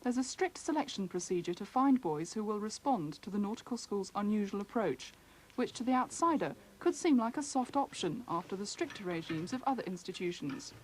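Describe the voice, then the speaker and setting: A woman's voice: medium pitch, expressive, brisk, few pauses. A British woman reading scripted documentary narration as a news reporter. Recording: faintly noisy archive newsreel.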